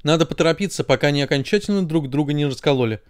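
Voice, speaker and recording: A man's voice: fairly high pitch, very fast, clean tone, no room sound, clean studio sound, natural voice. A man voicing a fretful, bossy talking brain in a children's story. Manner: fretful, commanding. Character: fussy bossy brain, male, anxious.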